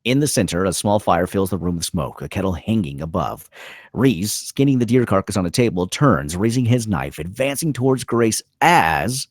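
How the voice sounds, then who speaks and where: A man's voice: on the low side, animated, normal speed, dry recording, clean studio sound. A man reading screenplay stage directions as scripted narration, in terse, dramatic phrases.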